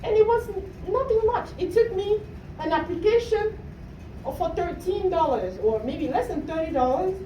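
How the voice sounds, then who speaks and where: A woman's voice: extremely high pitch, expressive, normal speed, dull audio. A woman from Cameroon giving a motivational talk to students as a coach, checking in with questions as she goes. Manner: asks the audience questions, often adds "right?". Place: room at a university talk. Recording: roomy, distant mic, faint noise.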